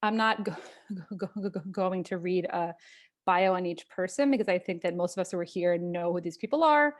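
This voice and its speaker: A woman's voice: lowish voice, moderate pace. A woman hosting an online event who stutters often on the starts of words as she introduces the first speaker over a video call. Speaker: event host.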